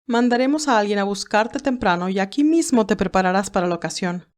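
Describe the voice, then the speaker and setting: A woman's voice: mid-range voice, fairly quick, darkish tone, close to an everyday voice. A woman voicing a stern, imperious old great-aunt who gives clipped orders in a dramatized audiobook. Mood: cold, commanding. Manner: authoritarian, clipped commands.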